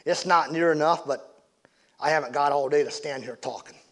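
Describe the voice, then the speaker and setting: A man's voice: a little high, normal speed, fairly bright tone, close to an everyday voice. A man voicing an angry middle-aged driver who blusters, threatens and demands payment. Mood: demanding.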